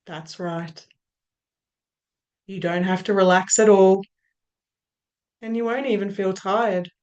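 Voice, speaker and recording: Australian woman hypnotist, unhurried, soft trailing phrases. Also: medium pitch, dull audio. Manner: slow, soothing, drawn-out phrases that trail off softly. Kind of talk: guided hypnosis induction.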